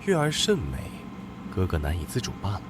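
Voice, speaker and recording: A deep-voiced fierce general. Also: male voice, huge pitch swings, brisk, background noise. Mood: tender. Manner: commanding and possessive. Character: fierce devoted general, young man, protective elder brother. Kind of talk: animated drama dub.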